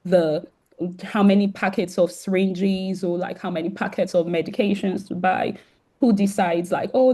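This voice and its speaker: A woman's voice: medium pitch, mellow. Sore-throated woman hosting a video call. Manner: many "um" fillers, restarts, addresses students directly. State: sore throat, occasional coughing. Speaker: founder and host of a virtual career event. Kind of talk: casual welcoming talk on a video call.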